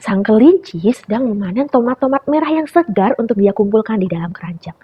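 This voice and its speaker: A young woman telling a story to preschool children in an animated way, often turning to her listeners. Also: fairly high pitch, brisk, slightly rough. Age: young adult. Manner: animated, calls listeners "friends". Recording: dull lo-fi recording.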